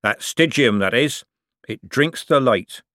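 A man's voice: mid-range voice, lively intonation, takes it slowly, a bit bright, dry recording, clean studio sound. A man voicing a greedy, sly old male craftsman who growls in coarse working-class speech. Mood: gruff.

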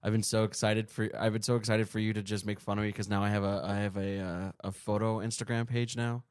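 A man's voice: fairly low pitch, little pitch movement, quick pace, clean tone, no room sound, clean studio sound. Rambling, laughing podcast co-host man. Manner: rambling, laughs often, restarts. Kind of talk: casual chat.

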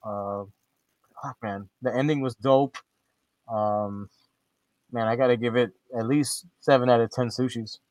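Male co-host, mid-pitched, rambly, swears casually, dry close sound. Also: steady tone, unhurried, pauses often, a little mellow, dry recording. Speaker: podcast co-host. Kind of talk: casual movie review chat.

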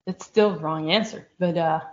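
A woman co-hosting a casual chat, talking in a rambling, lively way about her week as a church school teacher. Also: fairly low pitch, moderate pace. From outside the United States. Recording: dull lo-fi sound.

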